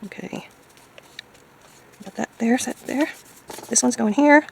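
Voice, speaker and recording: A woman chatting casually as she crafts, thinking aloud hesitantly in a hoarse, gravelly voice. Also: fairly high pitch, takes it slowly, a little mellow, a little hiss or hum, rough recording. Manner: hesitant, musing to self. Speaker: craft video maker.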